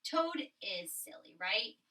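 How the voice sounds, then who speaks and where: A woman's voice: medium pitch, big pitch swings, slow, pushed and bright, distant mic. A woman teaching young children through a read-aloud, explaining simply and expressively and checking in with questions.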